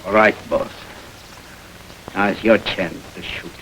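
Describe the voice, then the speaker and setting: A man's voice: low-pitched, fairly even intonation, a little slow, heavy background noise. An actor playing a brash, ambitious gangster who taunts his boss in tough street slang, in an old film noir radio drama style. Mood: defiant taunting.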